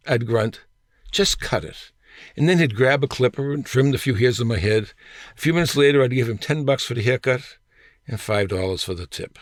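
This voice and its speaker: An older man in his sixties or above reading his own humorous personal essay in a wry, deliberate way with emphatic phrasing. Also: mid-range voice, no echo, clean studio sound. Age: 60s or older.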